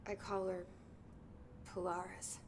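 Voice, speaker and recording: A woman's voice: on the low side, flat delivery, slow pace. An actress playing a determined young woman searching for her brother in a video game, speaking tersely and guardedly. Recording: game audio with clear noise.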